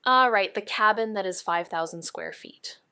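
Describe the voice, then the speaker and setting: An actress playing a sharp, wry young woman detective in a noir audio drama, with a dry, clipped, probing manner. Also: mid-range voice, moderate pace, clear, resonant tone, no echo. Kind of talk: neo-noir audio drama dialogue and narration.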